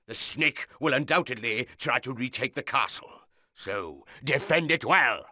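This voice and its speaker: An actor playing an earnest noble commander giving a scripted mission briefing in a video game, stately and urgent. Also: male voice, mid-range voice, expressive, measured pace, sharp tone, no room sound, heavily acted. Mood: grave, rousing. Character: earnest noble male commander briefing his young lord.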